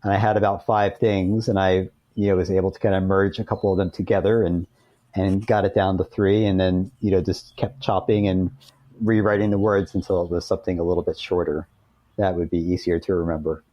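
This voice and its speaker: A man's voice: sits low, level tone, a little fast, no room sound, lo-fi sound. A man speaking as an author guest in a conversational interview, informal and self-deprecating.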